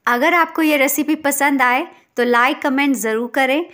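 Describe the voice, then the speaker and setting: A woman's voice: high-pitched, normal speed, fairly bright tone. A woman from India hosting a cooking channel, narrating a recipe step by step in an instructional way.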